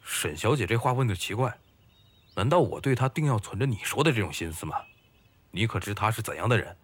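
A man's voice: on the low side, expressive, a little fast, breathy edge. An actor playing a young, upright scholar turned fisherman, reserved and formal, in an audio drama. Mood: uneasy, defensive.

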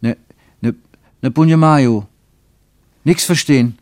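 Timid elderly Saxon holidaymaker, played by male narrator, pitched up and loud, folksy, stammering, radio drama. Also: slow pace, no room sound, studio quality, lightly acted. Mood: frightened, stammering. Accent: Saxon German.

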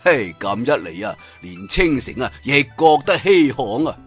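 A man's voice: medium pitch, sing-song, measured pace, husky, faint music behind. A man with a Cantonese accent telling a martial-arts tale in a dramatic oral storytelling style, with asides to listeners. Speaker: audiobook storyteller.

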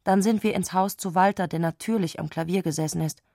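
An actress playing an eccentric, excitable woman speaking an intimate letter in intense, abrupt short sentences, in a literary radio play. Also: fairly low pitch, fairly quick, gravelly, no echo, studio quality. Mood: restless recounting.

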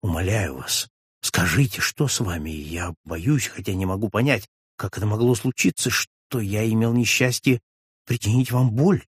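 A man voicing a suave gentleman friend who pleads tenderly with a touch of ironic melancholy, read for an audiobook. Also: mid-range voice, animated, normal speed, dry recording, studio quality, natural voice. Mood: tender pleading. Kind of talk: audiobook reading of a classic novel.

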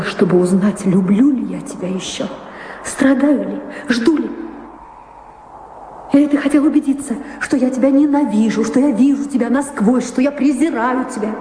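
A woman's voice: a little high, measured pace, long pauses, dark tone, close to an everyday voice. An actress in an audio drama playing a bitter, proud middle-aged married woman, a wounded former lover, accusing with emphatic, dramatic phrasing. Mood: pleading, challenging. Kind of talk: theatrical audio drama dialogue. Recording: echoey hall reverb, clear background noise.

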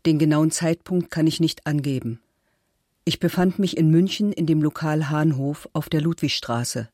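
A woman playing an accused middle-aged woman, reading statements and letters for a documentary in a measured, formal, articulate manner. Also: low voice, dark tone, dry recording, clean studio sound. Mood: composed, firm. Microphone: close studio microphone.

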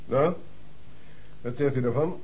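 An actor playing a probing, commanding, sceptical investigator in a Dutch radio play. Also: male voice, medium pitch, unhurried, darkish tone, a little hiss or hum, lightly acted. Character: insistent male investigator questioning witnesses about a death.

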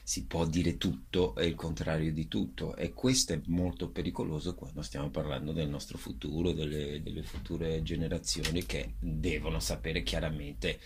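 A man speaking as a theatre director in a radio interview, explaining in a halting way as he searches for words. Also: very deep voice, little pitch movement, a little hiss or hum.

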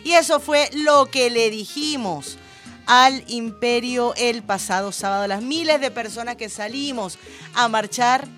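A high, bright-voiced woman with a Venezuelan accent opens a morning radio show, affectionate and bubbly as she greets listeners and crew. She speaks from a radio studio with a little music playing softly behind her. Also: unhurried. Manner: bubbly and affectionate, blowing kisses. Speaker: radio host.

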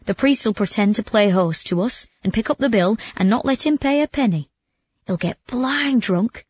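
A woman's voice: a little high, animated, moderate pace, darkish tone, husky, no echo. A woman voicing a cunning male trickster in a storybook, goading and mock-doubting. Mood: scheming. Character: sly, mocking male prankster, egging on his victim.

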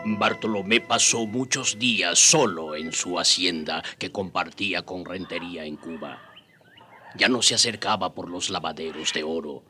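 A man's voice: sits low, moderate pace, sharp tone. A man narrating a radio drama in scripted storytelling style. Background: clear background music.

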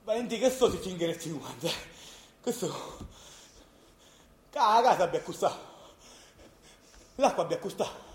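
Young neapolitan man, super high voice, nervous and rambly radio play character, echoey room. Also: expressive, normal speed, long pauses. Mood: downcast. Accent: Neapolitan, southern Italian. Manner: rambling, agitated, repetitive. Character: anxious, excitable young precarious worker obsessively counting money. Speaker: stage actor. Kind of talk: theatrical radio drama monologue and dialogue.

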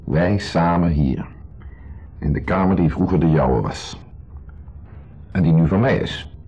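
An actor playing an insecure, jealous husband in a 1970s radio drama, mock-polite and sarcastic in a clipped way. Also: male voice, deep voice, unhurried, warm tone, breathy edge. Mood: menacing politeness. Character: insecure, jealous husband, needling host masking hostility. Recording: muffled 1970s radio recording, music behind.

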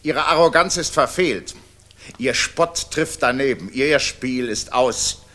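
An actor in a 1960s German radio drama playing a cold, self-righteous Nazi official who speaks in clipped, threatening declamation. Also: male voice, medium pitch, takes it slowly, fairly bright tone. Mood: cold threat.

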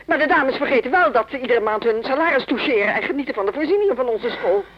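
An actress playing an indignant, long-serving music teacher, speaking formally and emphatically in a radio drama phone call. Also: female voice, high voice, lively intonation, fast. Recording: slightly dull phone-call sound.

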